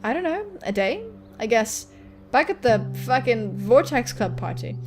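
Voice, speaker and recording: Woman reading as an earnest, high-voiced teenage girl. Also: sing-song, unhurried, faint music behind. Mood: weary insistence. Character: earnest, weary teenage girl, video game character.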